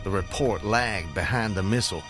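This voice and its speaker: An actor in a radio drama playing a dazed fugitive who recounts his escape in a halting, dramatic, old-fashioned storytelling manner. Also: lowish voice, over music, close to an everyday voice. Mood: earnest recounting.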